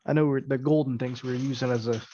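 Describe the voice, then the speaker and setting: A man's voice: medium pitch, steady tone, fast talker, mellow, a little hiss or hum. A man playing a tabletop roleplaying game, chatting casually about party business, on a lo-fi recording. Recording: dull, dry, lo-fi voice pickup.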